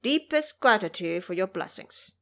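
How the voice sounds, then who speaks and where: A woman voicing a courteous, flattering male bear monster's letter in an audio drama, formal and obsequious. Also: medium pitch, normal speed. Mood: humble flattery.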